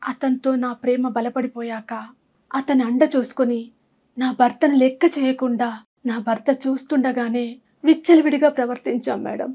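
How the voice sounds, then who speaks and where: Female talk show guest, thirties, high voice, giving a personal confession. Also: fairly even intonation, normal speed, warm tone, dull audio. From Andhra Pradesh, India. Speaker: guest seeking advice.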